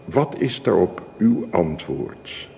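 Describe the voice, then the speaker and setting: In a long, church-like reverb with some hiss, a solemn male minister conducting a wedding in a radio play. He speaks very slowly and ceremoniously, in a mellow voice with a gravelly creak. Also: low-pitched, sing-song, heavily acted. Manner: formal, measured, ceremonious.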